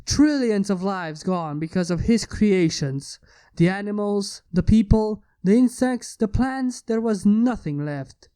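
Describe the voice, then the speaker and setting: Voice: mid-range voice, lively intonation, unhurried, mellow, clear, resonant tone. A narrator of ambiguous-sounding sex reading a scripted fan fiction story in a dramatic storytelling style. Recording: music behind the voice.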